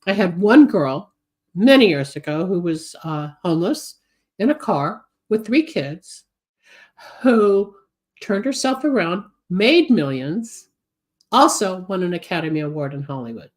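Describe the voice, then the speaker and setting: A woman's voice: on the low side, very animated, a little slow. A woman in her seventies hosting a psychic advice show, talking at length and rambling from topic to topic, congested and getting over a cold. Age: 70s. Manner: chatty, rambling, switches topics, gives advice. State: recovering from a cold, coughing. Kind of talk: solo live show monologue, reading viewer comments.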